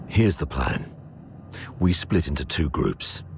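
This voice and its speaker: Very deep-voiced old military commander. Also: male voice, expressive, gravelly, background noise, heavily acted. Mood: firm, commanding. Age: older. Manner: measured, authoritative orders and speeches. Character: gruff old veteran military commander, fatherly and dryly wry. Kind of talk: video game cutscene acting.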